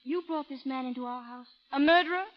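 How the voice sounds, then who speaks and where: Actress as a high-voiced, anguished frontier wife. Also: female voice, fast, close to an everyday voice. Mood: distraught. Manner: formal, moralizing, emotional. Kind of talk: old-time radio drama acting. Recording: muffled old radio recording.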